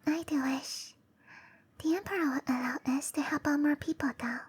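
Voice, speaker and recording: A woman voicing a shy, gentle young cherry blossom dryad in a breathy, hesitant whisper for roleplay ASMR. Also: sits high, steady tone, normal speed, lightly acted. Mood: wistful, tender. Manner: hesitant, bashful, softly spoken. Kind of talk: roleplay ASMR, intimate and slow.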